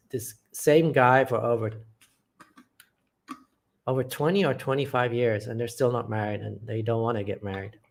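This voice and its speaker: A man's voice: mid-range voice, fairly bright tone. Blunt, matter-of-fact man from England. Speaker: channel host. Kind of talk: live chat reading viewer comments.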